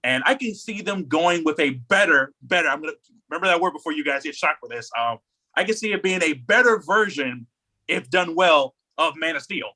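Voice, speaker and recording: A man's voice: fairly high pitch, animated, brisk, pushed and bright, poor audio. A man co-hosting a podcast, talking casually and giving opinions in a rambling, emphatic way, often repeating himself. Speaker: podcast co-host. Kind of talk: casual opinionated chat about anime news.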